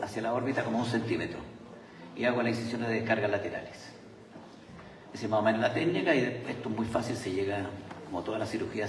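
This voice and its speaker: A man's voice: medium pitch, a little hiss or hum. A man with a Chilean Spanish accent giving a surgical talk at a conference, hesitant, with many fillers and restarts. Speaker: surgeon presenting at a conference. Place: large lecture hall. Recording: echoey hall from far off.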